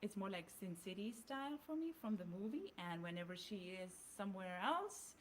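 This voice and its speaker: A woman's voice: mid-range voice, big pitch swings, moderate pace, slight background noise. A woman with a German accent, co-hosting a podcast in casual chat, often restarting her sentences as she works through her ideas. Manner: talks through ideas with frequent restarts and fillers. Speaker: podcast co-host.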